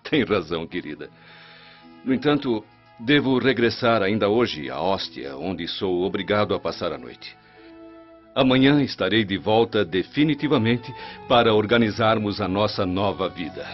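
Faint music sits under a dull radio drama recording of an actor playing a Roman official. His lowish voice is measured and formal, that of a dutiful, reassuring head of a family. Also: animated, lightly acted. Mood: firm, reassuring. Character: dutiful Roman official, affectionate but firm husband and father. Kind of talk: radio soap opera acting.

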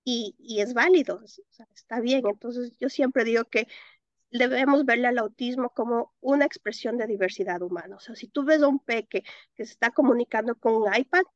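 Expressive Ecuadorian woman, interview guest. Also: a little high, moderate pace, dry recording, muffled recording. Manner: expressive, laughs often, mixes in English words. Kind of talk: interview answers.

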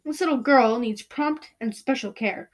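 A woman voicing a gentle, calm tentacled alien beast in a read-aloud storybook, speaking in a plain, measured way. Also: fairly high pitch, lightly acted.

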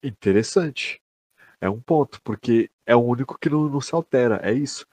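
A Brazilian man with a mid-pitched voice chats casually as a podcast co-host. The sound is dry, with no room echo around him. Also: animated, a little fast, darkish tone, breathy edge.

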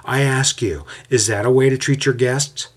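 A man voicing a scheming, smooth-talking mob leader in an audio story, speaking with calculated menace. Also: medium pitch, moderate pace, voice pushed up, close to an everyday voice. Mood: menacing. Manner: raised, calculated and coolly menacing.

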